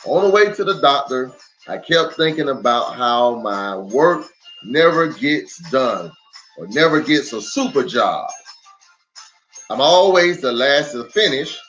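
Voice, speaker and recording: Slow, animated male storyteller in echoey room. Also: mid-range voice, highs cut off. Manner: dramatic, deliberate pauses. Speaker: storyteller, pastor. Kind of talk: expressive children's story read-aloud. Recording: roomy echo, faint music.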